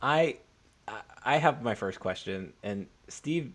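A man co-hosting a sports podcast, chatting informally and haltingly, often repeating and restarting his phrases. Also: mid-range voice, animated, fairly bright tone. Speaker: podcast co-host. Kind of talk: casual sports podcast chat.

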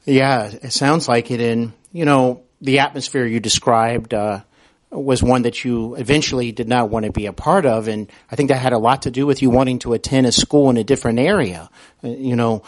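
A man's voice: mid-range voice, fairly even intonation, normal speed. A man interviewing a guest as podcast host, conversational, attentive and supportive.

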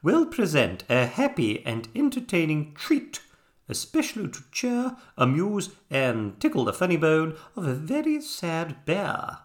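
A storybook narrator voicing a bossy, theatrical female hippopotamus ballerina who announces things grandly in a booming voice. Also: wildly swooping pitch, measured pace. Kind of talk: children's storybook character voice.

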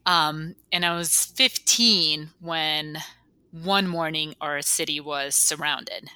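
A woman in her forties from Bosnia, recounting personal memories as an interview guest in a halting, reflective way. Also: low-pitched, pushed and bright, clean tone, dry recording. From Bosnia (former Yugoslavia). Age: 40s.

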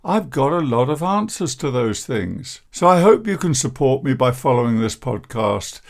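Deep croaky-voiced older man. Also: sing-song. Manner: downbeat, measured and self-deprecating. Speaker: podcast host, therapist and coach. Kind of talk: reflective podcast monologue.

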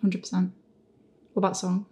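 A woman's voice: fairly low pitch, completely flat delivery, normal speed, darkish tone. A woman hosting an informal Q&A, chatting casually and responsively with a giggly manner. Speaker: podcast host reading questions. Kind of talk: casual group Q&A chat.

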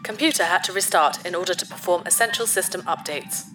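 A woman's voice: lowish voice, fast talker, music in the background, lightly acted. An actress playing an eager, easily hurt female spaceship computer in a sci-fi audio drama. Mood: cold, formal. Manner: speaks of herself in the third person.

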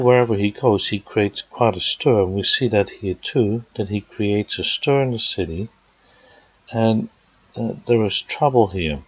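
A man with a Dutch accent preaches in a low, slightly husky voice. He works through scripture passage by passage and pauses with fillers along the way. The recording sounds dry and dull, with a lo-fi quality. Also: no echo. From Netherlands. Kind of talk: sermon teaching.

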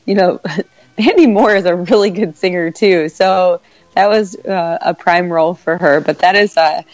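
A woman with a cold and a congested voice, chatting casually as a podcast co-host, laughing often and filling pauses with "um" and "like". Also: fairly low pitch, darkish tone, no room sound, poor audio. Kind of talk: casual chat.

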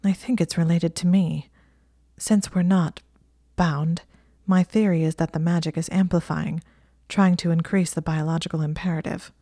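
A woman's voice: lowish voice, steady tone, normal speed, warm tone, no room sound, studio quality. A woman voicing an anxious, clever young witch who speaks in a tense, clipped way.

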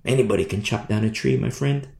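A man preaching a sermon in an emphatic, repetitive style, swinging from hushed to loud. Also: on the low side, fast talker, creaky. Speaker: pastor. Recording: roomy echo.

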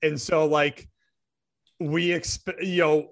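A man's voice: on the high side, little pitch movement, takes it slowly, creaky. Casual rambling male podcast co-host. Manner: thinking aloud with many restarts and "like". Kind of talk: casual sports podcast chat.

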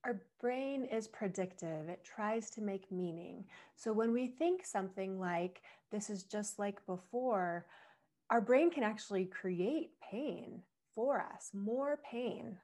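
A young adult woman speaks into a microphone for her own podcast. Her voice is slightly husky from recent strain. She explains things thoughtfully, sometimes laughing softly at herself. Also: mid-range voice, takes it slowly. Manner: reflective and explanatory, with small laughs. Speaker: podcast host. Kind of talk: solo podcast talk.

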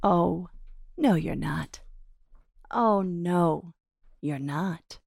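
A woman's voice: fairly low pitch, very slow, creaky, darkish tone, no echo, clean studio sound, full character voice. A woman playing a scornful, taunting gold-digging wife in a theatrical reading of a 1930s radio melodrama, with slangy delivery. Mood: cold contempt. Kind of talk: 1930s radio horror melodrama table read.

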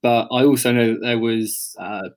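A man's voice: mid-range voice, steady tone, normal speed. Hesitant man, modest podcast guest. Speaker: podcast guest, engagement manager. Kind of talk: casual chat.

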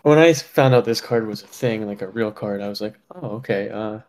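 A man co-hosting a podcast, talking casually with many fillers, often dropping quieter at the ends of his thoughts. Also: on the low side, a little fast. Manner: relaxed, lots of fillers, trails off quietly. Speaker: podcast co-host.

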